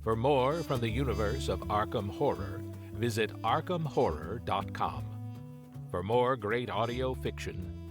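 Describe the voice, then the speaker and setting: A man reading scripted fiction as an audiobook narrator, with measured, deliberate, dramatic phrasing. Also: fairly low pitch, animated, music in the background.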